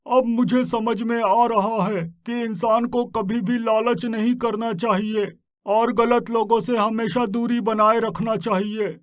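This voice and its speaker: A man's voice: extremely high pitch, steady tone, slow pace, no room sound, clean studio sound. An actor voicing a naive, remorseful man in a cartoon story, earnest and plaintive. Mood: bitter regret. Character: naive, remorseful man betrayed by his companion.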